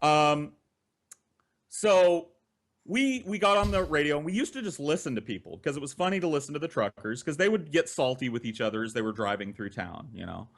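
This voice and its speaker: A man's voice: fairly high pitch, animated, fairly quick. A man telling comic personal stories as a podcast co-host, with theatrical emphasis and casual swearing.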